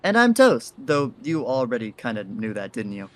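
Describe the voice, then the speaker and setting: A man's voice: medium pitch, big pitch swings, normal speed, no room sound, natural voice. A man playing a friendly, easygoing leader of a graffiti crew in an audio drama, casual and a little hesitant. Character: Toast, friendly easygoing faceless graffiti artist, male group leader.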